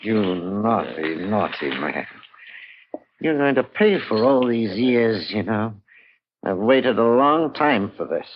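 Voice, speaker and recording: A man's voice: lowish voice, big pitch swings, slow, noisy background. An actor playing a spiteful villainous sheriff in an old-time radio drama, sneering and drawing out his taunts with relish. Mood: gloating menace. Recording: tinny, band-limited old radio recording.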